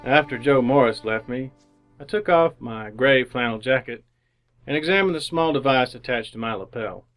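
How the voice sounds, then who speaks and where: An actor playing an awkward, lonely city bachelor in an old-time radio drama, halting and bemused as he talks and narrates. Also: medium pitch, a bit bright, faint music behind, natural voice. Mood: wistful narration.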